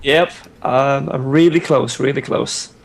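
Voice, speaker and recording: A man's voice: mid-range voice, measured pace. A man, talking casually and easily as a podcast guest. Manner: relaxed, easygoing, hesitant fillers. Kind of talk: casual chat.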